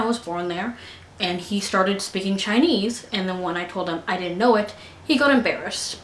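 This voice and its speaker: A woman's voice: medium pitch, fairly bright tone, slight background noise. A young American woman talking earnestly to camera in a personal vlog, stumbling and restarting her sentences now and then. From United States. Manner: earnest, frequent fillers and restarts. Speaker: vlogger. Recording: echoey room.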